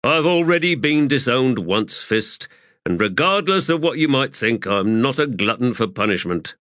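A man voicing a cheeky, bitter wounded soldier with a sardonic, quick tongue. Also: mid-range voice, expressive, a bit bright, no echo, clean studio sound, pitched above the performer's own voice, natural voice.

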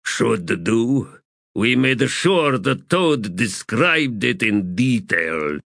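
A man's voice: mid-range voice, very animated, drawn-out words, creaky, fairly bright tone, no echo, studio quality, voice pushed up, full character voice. A man voicing a babbling, callous soldier shaman in an audiobook, with folksy bad grammar. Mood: chatty, unbothered. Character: slovenly, chatty male soldier shaman, cheerfully callous.

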